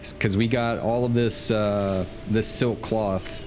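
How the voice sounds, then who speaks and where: A man's voice: fairly low pitch, fairly even intonation, slow pace. A man giving casual, laid-back gameplay commentary as he hosts a stream, thinking aloud and reading out quest text. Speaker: game livestream host. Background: game music.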